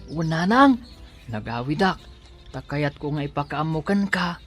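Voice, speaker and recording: A humble, devoted young man with a Filipino accent. He pleads slowly, in radio drama style, with faint music underneath. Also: a little high, big pitch swings, fairly bright tone. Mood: earnest. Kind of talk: Ilocano radio drama acting.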